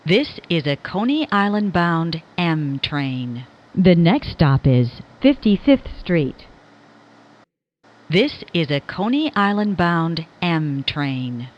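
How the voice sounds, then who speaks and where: A woman reads scripted subway announcements as a recorded train announcer, in a measured, formal, clearly enunciated manner. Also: lowish voice, sing-song, dull audio.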